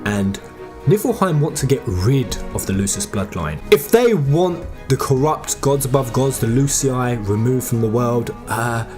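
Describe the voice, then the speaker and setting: A man's voice: medium pitch, lively intonation, a little slow, music in the background. A man giving enthusiastic, conversational game commentary, speculating with emphatic delivery. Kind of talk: enthusiastic fan theory commentary.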